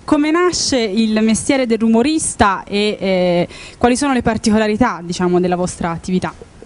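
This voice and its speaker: A woman with a medium-pitched voice works as a reporter. She puts questions to her guests in the style of a news feature, speaking into a handheld microphone. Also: normal speed. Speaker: interviewer, reporter. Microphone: handheld interview microphone.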